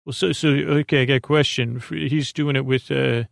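Gravelly guy doing a sleepy bedtime podcast, lots of stammering tangents, dry close mic, some music. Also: mid-range voice, fairly even intonation, no echo. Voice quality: gravelly, creaky. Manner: meandering, halting, stammering, goofy tangents. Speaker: podcast host. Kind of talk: rambling bedtime podcast monologue, meant to lull to sleep.

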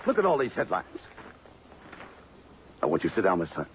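An actor playing a tough, brooding police detective in 1950s American radio drama, curt and hard-boiled. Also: male voice, sits low, huge pitch swings, a little fast. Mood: startled, urgent. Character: tough, brooding police detective lieutenant smitten by a murder victim. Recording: muffled, noisy 1950s radio recording.

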